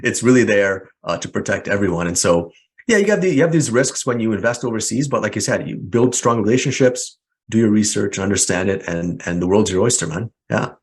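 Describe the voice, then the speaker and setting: A man's voice: lowish voice, lively intonation, fairly quick. A man in his forties, raised in Canada after a childhood in Hungary, hosting a casual podcast interview in a friendly, rambling way with lots of fillers. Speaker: podcast host.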